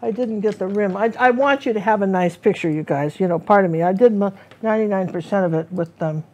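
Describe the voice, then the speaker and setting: A woman's voice: medium pitch, brisk, darkish tone. A woman teaching a live painting lesson, chatting loosely and trailing off as she works. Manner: chatty, rambling, self-interrupting. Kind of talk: casual live painting demo.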